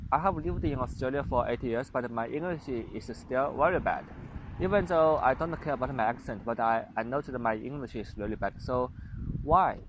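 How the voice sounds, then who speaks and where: Young male vlogger, Chinese accent, hesitant and unpolished, slight background hiss. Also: mid-range voice. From China. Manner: halting, many fillers and restarts. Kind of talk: casual monologue to camera.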